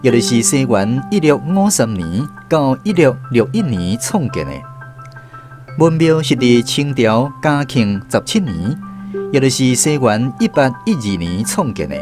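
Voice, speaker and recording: A man's voice: medium pitch, sing-song, a little slow, over music. A man with a Taiwanese accent narrating a scripted radio drama as its host.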